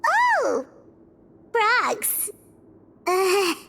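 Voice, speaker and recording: Casting: tiny game fairy sidekick, childlike extreme high pitch, very animated, blunt, curious, exclamatory. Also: female voice, very slow, very noisy, exaggerated voice. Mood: uneasy. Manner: refers to herself in third person, exclamatory. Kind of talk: animated video game voice acting. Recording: music behind the voice.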